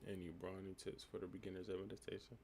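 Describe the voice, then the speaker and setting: A young man from the United States with a calm, laid-back voice, reading live chat questions aloud and answering them in a relaxed, reflective way. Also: deep voice, racing, gravelly, slight background noise. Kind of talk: casual live stream chat.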